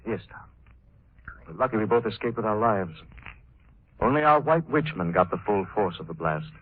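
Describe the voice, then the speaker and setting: Assured detective hero, measured husky delivery, old radio drama, tinny narrow 1940s recording. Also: male voice, fairly low pitch, animated. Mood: reassuring, grave. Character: assured male crime-fighting hero, wealthy man about town.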